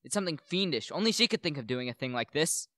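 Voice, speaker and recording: A man's voice: a little high, very animated, fast talker, pushed and bright, no room sound, studio quality. A young man playing a bitter, gloating widower who poisoned his wife, in a theatrical, brooding radio play monologue. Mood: shaken, wary. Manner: melodramatic, brooding, declamatory.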